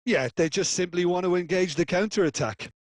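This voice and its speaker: A man's voice: high voice, a little fast, no echo, natural voice. A man voicing an analytical football co-commentator in a video game, explaining play in a conversational way.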